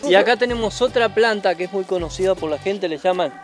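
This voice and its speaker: A man in his forties with an Argentine accent walks a forest trail as a guide, explaining patiently and hesitating often. His voice sits slightly high. Music plays clearly under his speech. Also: quick pace. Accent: Argentine Spanish. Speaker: nature reserve guide.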